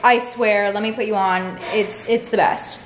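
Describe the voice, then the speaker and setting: A woman's voice: mid-range voice, fairly even intonation, unhurried. A woman in her early twenties chatting casually to camera in an informal, filler-heavy way, as a lifestyle vlogger. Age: early 20s. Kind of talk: casual vlog chat to camera. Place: large, mostly empty room in a house. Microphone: camera at arm's length or on tripod. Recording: echoey bare room with faint noise.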